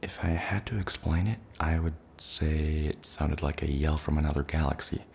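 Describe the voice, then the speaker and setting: A man playing a shaken survivor of an alien invasion, speaking softly and hesitantly as he gives testimony in an ASMR roleplay. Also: steady tone, slight background noise. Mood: reflective, uneasy. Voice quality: gravelly, creaky low voice. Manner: hesitant, halting, plainly worded recollection.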